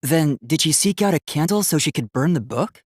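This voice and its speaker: A man's voice: fairly high pitch, animated, fairly quick, dry recording, studio quality. A man voicing an earnest, polite young robot student in a video game, with formal, precise, logical speech that gets easily flustered.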